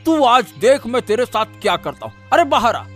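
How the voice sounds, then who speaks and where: A man acts out a scheming village orchard owner from a cartoon fable. His voice sits high for a man and he blusters, barking repeated orders like a bully. Faint music runs behind him. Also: very animated, moderate pace. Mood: furious, threatening. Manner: blustering, exclamatory, repeats commands. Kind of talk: Hindi cartoon moral-story voice acting.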